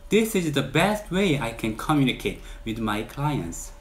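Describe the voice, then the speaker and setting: A man in his forties with a Korean accent speaks straight to camera, earnest and measured. His pitch sits in an ordinary middle register, and he pushes up on the words he wants to stress. The sound is clean, recorded indoors in a bright room. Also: big pitch swings. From South Korea. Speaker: realtor. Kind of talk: direct-to-camera channel introduction.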